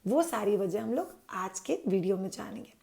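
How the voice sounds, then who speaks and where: A woman's voice: medium pitch, expressive, moderate pace, vocal fry, dark tone. A woman hosting a parenting channel, explaining baby care to parents in a chatty way, dropping English words into her talk and answering her own questions.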